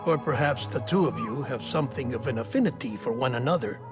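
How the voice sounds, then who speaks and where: An actor playing a wry, knowing clinical expert in a video game, with precise, formal phrasing. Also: male voice, medium pitch, quick pace, over music, natural voice. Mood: calm, wry. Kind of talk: cinematic video game acting.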